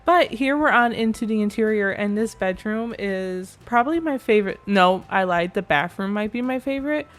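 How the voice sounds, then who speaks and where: A woman's voice: medium pitch, lively intonation, normal speed, hardly any pauses. A young woman giving a rambling, chatty commentary over a game build, sounding a little nasal from allergies. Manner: chatty, rambling, self-correcting. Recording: faint background music.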